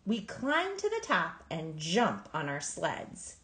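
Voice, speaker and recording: A woman reading a children's picture book aloud in an expressive, emphatic storytelling manner. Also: on the low side, takes it slowly, roomy sound. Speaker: storybook reader.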